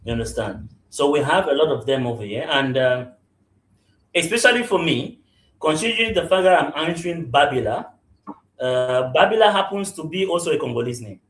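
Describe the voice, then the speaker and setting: A man's voice: a little high, animated, fairly quick. A man from Cameroon, a real estate general manager, answering interview questions, often checking "you understand?" and restarting his sentences. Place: remote call into a live podcast. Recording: roomy echo.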